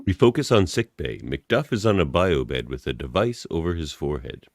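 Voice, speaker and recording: A man's voice: a bass voice, big pitch swings, brisk, no room sound, clean studio sound. A man narrating scripted scene directions for a radio play.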